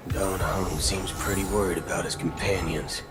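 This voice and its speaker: A man's voice: low voice, level tone, measured pace. A man playing a brash, impatient young traveler in a video game, informal and slangy, shifting between chatty and muttering. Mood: wry, uneasy. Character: brash young male traveler fishing for clues, casual and impatient. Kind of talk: animated video game voice acting. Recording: loud game music underneath.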